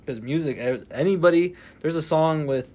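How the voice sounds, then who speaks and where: A man's voice: on the high side, moderate pace. Laid-back man hosting a casual podcast. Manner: laid-back slang, animated when quoting. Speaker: podcast host.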